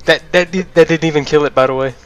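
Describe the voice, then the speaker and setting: A man with a slightly high voice banters casually as a game co-commentator. He teases and jokes with some swearing, and music plays behind him. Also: steady tone, quick pace. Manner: joking, teasing, profane. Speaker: let's play co-commentator. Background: fighting game music.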